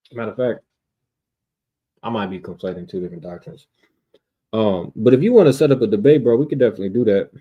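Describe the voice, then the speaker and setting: An American man debating casually in street slang, confrontational, often repeating words and clearing his throat. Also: on the low side, warm tone. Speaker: debater on a religious livestream.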